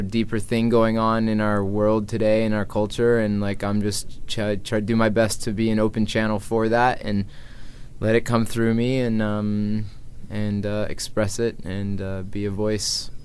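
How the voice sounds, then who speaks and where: A man's voice: monotone, moderate pace, darkish tone, smooth. An American man in his early thirties chatting informally as an interview guest, thinking aloud as he goes. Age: early 30s. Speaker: interview guest, traveling musician and activist. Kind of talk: casual conversational interview. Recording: low background noise, room ambience.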